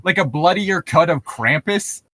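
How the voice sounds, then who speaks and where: A man co-hosting a podcast, chatting casually and reading aloud, swearing freely in a relaxed conversational way. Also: on the high side, a little slow, dry recording. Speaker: podcast co-host. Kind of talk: casual banter and creepypasta reading.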